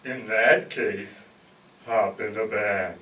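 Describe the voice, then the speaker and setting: Man as low, slow, solemn undertaker. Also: steady tone, bright, forceful tone. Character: gloomy, solemn, slow male undertaker friend. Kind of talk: old-time radio comedy acting. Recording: echoey, distant mic, faint noise.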